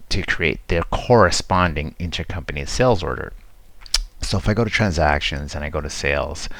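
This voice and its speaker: A man giving an informal, explanatory screen-share tutorial as a software demo presenter, talking conversationally into a close mic. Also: rumbling low, no echo. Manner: explanatory, conversational, checks in with "right?".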